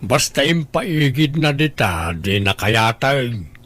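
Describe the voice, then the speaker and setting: A man's voice: mid-range voice, very animated, slow, a bit bright, heavily acted. A man playing a grumbling, opportunistic father of a grown daughter in an audio drama, matter-of-fact in manner. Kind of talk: Ilocano audio drama dialogue.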